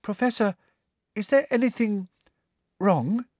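Casting: gentle young schoolgirl, performed by a man, raised pitch, tentative and softly worried, for a children's fantasy audiobook. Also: big pitch swings, moderate pace, no echo, studio quality, heavily acted. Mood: timid concern. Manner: hushed, timid, tentative. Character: timid, concerned schoolgirl admiring her teacher.

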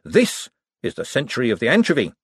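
Man voicing a glib smooth-talking banker. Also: medium pitch, wildly swooping pitch, brisk, no room sound, studio quality, pitched above the performer's own voice, exaggerated voice. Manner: smooth and persuasive. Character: glib, fast-thinking male con man turned banker.

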